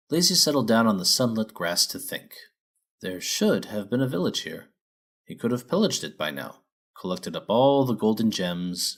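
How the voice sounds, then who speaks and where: A man narrates a scripted children's story. His voice sits on the low side and swings widely in pitch, giving the telling an expressive storybook feel.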